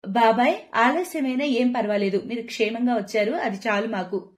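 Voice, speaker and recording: Casting: female performer as humble young mother, caring and dutiful, slightly high voice, moral storybook tale. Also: moderate pace, natural voice. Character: poor, dignified, caring young wife and mother. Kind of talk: animated moral story voice acting.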